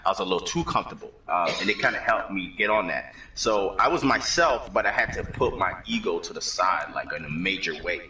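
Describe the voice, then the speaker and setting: A man's voice: deep voice, expressive, fairly quick, few pauses, bright, forceful tone, reverberant, recorded from a distance, a little hiss or hum, low-quality recording. A man, a motorcycle club member, talking in a laid-back, slangy, rambling way about earning his patch over online voice chat. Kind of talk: casual gaming chat.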